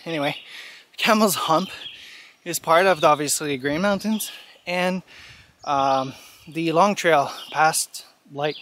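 A man's voice: fairly high pitch, a bit bright, a little hiss or hum. A man talking to the camera while he climbs a steep mountain trail outdoors, cheerful and chatty with lots of fillers. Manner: cheerful, chatty, many fillers like "you know" and "uh". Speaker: hiking vlogger. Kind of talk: casual talk to camera while hiking.

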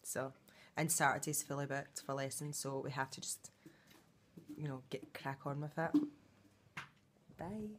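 A woman's voice: a bass voice, flat delivery, a little slow, long pauses, background noise. A woman, chatting casually into a phone held at arm's length for a holiday vlog, rambling with lots of fillers. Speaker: vlogger.

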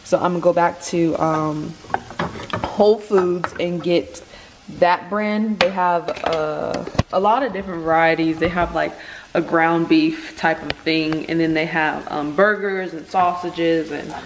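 A woman's voice: fairly low pitch, steady tone, a little slow. Chatty young woman cooking in an echoey kitchen. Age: 20s. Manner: chatty, playful, talks to viewers while cooking. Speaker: vlogger. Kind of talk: casual cooking vlog chat. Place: home kitchen, indoors. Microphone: camera on the counter. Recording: echoey kitchen with frying noise.

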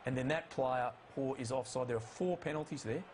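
A man analyzing rugby match footage in a studio, emphatic and pushing his pitch up to stress each call. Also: fairly quick, noisy background. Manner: emphatic, rises in pitch to stress key calls.

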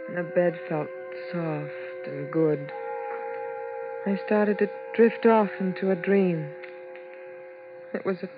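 A woman's voice: lowish voice, highs cut off. An actress playing a weary Las Vegas showgirl who recounts her ordeal in a 1950s radio drama. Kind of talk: 1950s radio drama acting, first-person narration. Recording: band-limited 1950s radio, music behind.

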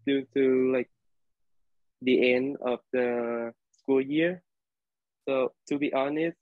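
A man's voice: medium pitch, no echo. A young man from Vietnam with a Vietnamese accent, telling a class story over a video call, hesitant with many fillers. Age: young adult. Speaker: college student. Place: living room indoors. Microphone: laptop webcam on video call. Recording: dry, tinny video-call audio.

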